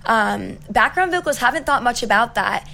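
A young woman singer-songwriter with a raspy voice, chatting upbeat and easily as an interview guest. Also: a little high, lively intonation, moderate pace, pushed and bright. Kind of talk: casual interview chat.

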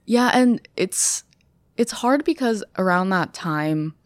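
A young woman with an American accent, born in China, talking casually and thoughtfully as a podcast guest, with lots of fillers and pauses. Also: medium pitch, animated, no echo. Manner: hesitant, filler-heavy, thoughtful. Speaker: guest, strategy staffer.

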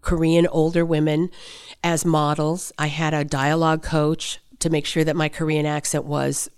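Lively, laughing woman telling stories. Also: low-pitched, moderate pace, clean tone. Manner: animated anecdotes, frequent laughter. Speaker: actress guest. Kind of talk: interview storytelling.

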